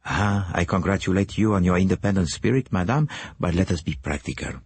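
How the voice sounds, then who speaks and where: A man's voice: low voice, brisk, a little mellow, breathy edge, dry recording. A man voicing a courteous, precise middle-aged foreign detective, asking formal, businesslike questions in an audiobook.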